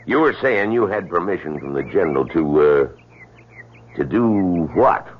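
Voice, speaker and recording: An actor playing a gruff, suspicious army corporal in an old-time radio drama, questioning people in a folksy, deliberate, probing way. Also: male voice, deep voice, lively intonation. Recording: muffled, noisy old radio recording.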